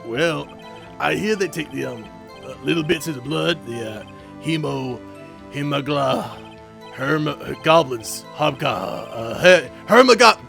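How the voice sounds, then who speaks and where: A man's voice: a little high, creaky, full character voice. A man voicing a blustering, easily flustered police detective in a video game, stammering and folksy. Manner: stammering, folksy, says pal.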